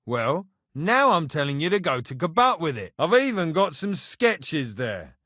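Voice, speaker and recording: An actor playing a blunt, sardonic medieval castle blacksmith in a video game, mocking and easily exasperated. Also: male voice, fairly high pitch, big pitch swings, a little slow, pushed and bright, no echo, clean studio sound, exaggerated voice. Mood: instructing, wry. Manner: blunt, sardonic, exasperated, rough colloquial phrasing. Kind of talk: video game character dialogue.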